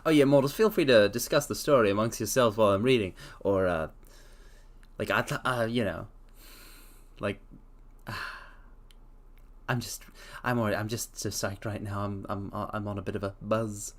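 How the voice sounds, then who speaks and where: A man streaming a dramatic reading of a classic story, chatting excitably to his viewers in between. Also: on the low side, normal speed, long pauses. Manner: excitable, rambling with fillers between passages.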